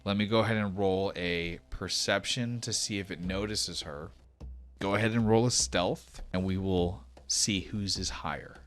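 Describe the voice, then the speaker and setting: Low-voiced man running a tabletop game. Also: moderate pace, fairly bright tone. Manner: conversational, explanatory. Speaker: game master of a tabletop role-playing game. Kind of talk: unscripted tabletop game narration and rules talk. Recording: music playing behind the voice.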